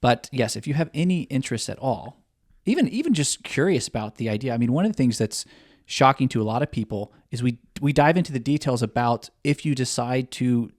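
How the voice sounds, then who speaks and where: A man's voice: mid-range voice, lively intonation, a little fast, darkish tone, no echo. Co-host: a man with an eager, stop-start delivery, recorded in a dry, close sound. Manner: eager, with many fillers and restarts. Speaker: podcast co-host. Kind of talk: podcast chat.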